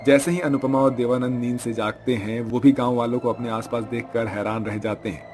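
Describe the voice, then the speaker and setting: A man's voice: medium pitch, steady tone, brisk, a little mellow. A man giving scripted narration for a cartoon story.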